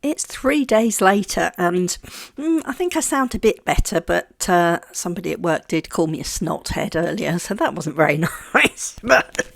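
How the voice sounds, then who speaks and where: A woman's voice: fairly low pitch, lively intonation, moderate pace, creaky. A woman with a congested voice from a heavy cold, chatting casually about her crafting and stumbling over her words. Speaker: craft vlogger. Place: home craft table.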